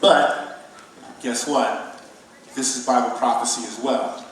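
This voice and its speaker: A man's voice: medium pitch, fairly even intonation, takes it slowly, lightly acted. An actor playing an earnest divorced father who teaches his son in an emphatic, preaching stage manner. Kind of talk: stage play dialogue, preachy. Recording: roomy echo, distant mic, faint noise.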